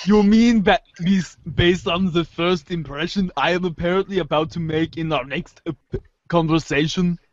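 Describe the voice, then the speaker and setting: A man's voice: on the high side, moderate pace, dry recording, lightly acted. Dull-sounding man voicing a sardonic brainy girl. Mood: dry, skeptical. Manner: dry, sardonic, wordy. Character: sarcastic, brainy teenage human girl, dryly skeptical. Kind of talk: readers theater of web comic chat logs.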